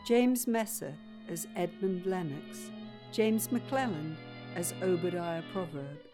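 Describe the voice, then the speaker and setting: A woman's voice: fairly low pitch, darkish tone. A woman reading scripted closing credits as the announcer of an audio drama. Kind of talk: scripted narration.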